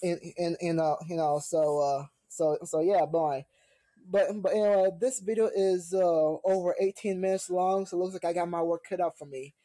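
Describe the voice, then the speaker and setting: A woman hosting a reaction video, commenting casually and haltingly, often repeating her words. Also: lowish voice, fairly even intonation, mellow, dry recording. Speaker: host of a reaction show.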